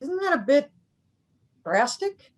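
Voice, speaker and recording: A woman's voice: sits high, sing-song, slow, lo-fi sound, full character voice. A woman at a script table read playing a cautious, fussy marine scientist, precise and protesting. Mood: cautious objection.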